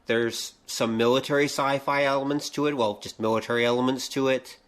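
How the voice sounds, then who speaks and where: A man with a medium-pitched voice speaks as a podcast co-host. His delivery is informal and conversational, in the manner of easy back-and-forth banter. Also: steady tone, fairly quick.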